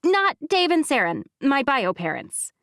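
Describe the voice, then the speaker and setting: A feisty little young woman, as a woman narrator puts her on, bright and high, who teases and threatens with a grin. Her lines bounce with lively pitch, read close to a dry microphone. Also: a little slow, dry recording, full character voice. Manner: blunt, teasing, fiery. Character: sassy petite young woman friend.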